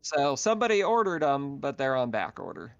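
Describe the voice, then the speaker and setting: A man's voice: mid-range voice, wildly swooping pitch, fairly quick, creaky, no room sound. A man casually narrating an online tabletop roleplaying game as game master. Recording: dull lo-fi online voice chat.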